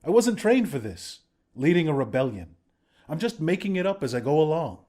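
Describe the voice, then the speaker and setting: An actor playing an earnest, friendly young rebel leader in a video game, speaking in a sincere, conversational way. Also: male voice, on the high side, very animated, fairly quick, warm tone. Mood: confiding, self-doubting. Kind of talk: video game character dialogue.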